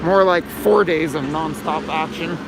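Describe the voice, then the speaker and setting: A hoarse man narrating a live stream as he walks city streets, chatting calmly. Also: fairly high pitch, a little slow. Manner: calm, chatty commentary while walking. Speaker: streaming journalist. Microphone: handheld phone camera. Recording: loud street noise outdoors. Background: traffic and street noise.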